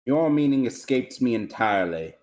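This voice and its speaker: A man's voice: mid-range voice, expressive, normal speed, darkish tone, close to an everyday voice. A man playing a grandiose, self-pitying fallen lord in a script read, with pompous, lofty delivery. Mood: pompous, wounded. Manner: pompous, declamatory, lofty diction. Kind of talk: amateur script table read.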